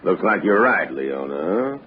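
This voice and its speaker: A man's voice: low voice, lively intonation, slow pace, a little hiss or hum. An actor playing a folksy, deferential mine-country man with a rustic Western American accent in a 1960s radio drama. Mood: wary, approving. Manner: rustic, drawling. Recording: muffled narrow-band old radio recording.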